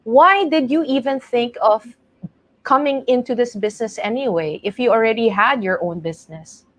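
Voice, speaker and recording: A woman's voice: on the high side, normal speed. A woman from the Philippines hosting an online panel talk, chatty and laughing easily. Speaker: host.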